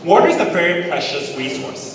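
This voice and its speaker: A man from Thailand with a Thai accent, giving a public presentation in English as a conference speaker, deliberate and emphatic with repetition. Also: on the high side, lively intonation. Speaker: conference speaker, business executive. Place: large indoor event hall. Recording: very echoey hall, distant, noisy ambience.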